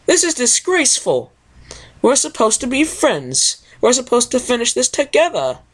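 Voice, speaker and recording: Prim fancy pony lady, all indignant and lecture-y, high voice, reader doing it. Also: huge pitch swings, moderate pace, breathy edge. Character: prim elegant female pony, dramatic.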